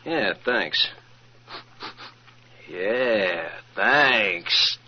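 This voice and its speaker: An actor playing a cocky, cold-blooded hired killer with rough, slangy tough-guy talk in an old radio crime drama. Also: lowish voice, lively intonation, drawn-out words, full character voice. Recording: muffled, noisy vintage radio recording.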